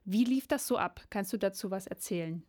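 A woman's voice: medium pitch, brisk, darkish tone. Female radio presenter, mid-range voice, hosting a studio show with interview segments. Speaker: radio host.

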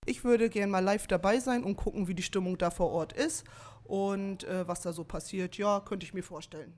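A woman's voice: medium pitch, moderate pace, darkish tone. A woman, a teacher, giving casual, spontaneous answers in an interview.

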